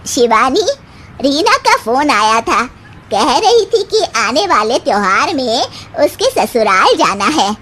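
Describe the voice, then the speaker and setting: Woman as a nagging, slow-talking older mother-in-law. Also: high-pitched, very animated, fairly bright tone, a little hiss or hum, full character voice. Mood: demanding. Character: demanding, nagging older mother-in-law, partial to her daughter.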